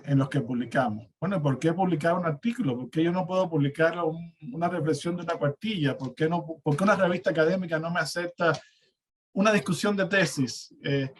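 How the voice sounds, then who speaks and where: Hesitant Cuban man, higher-pitched voice. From Cuba. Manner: hesitant, repeats words. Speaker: academic panelist and university teacher. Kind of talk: spontaneous academic discussion. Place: online seminar, remote call.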